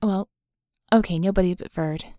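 A woman voicing an earnest, curious and skeptical female mage in an audiobook, speaking in a halting, candid, conversational way. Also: on the low side, mellow, no echo, studio quality, natural voice. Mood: gentle, curious.

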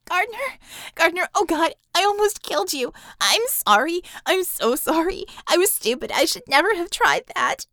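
A woman reader voicing a distraught, guilty young woman in high, loud, pleading outbursts. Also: animated, a little slow, gravelly, dry recording, studio quality, exaggerated voice.

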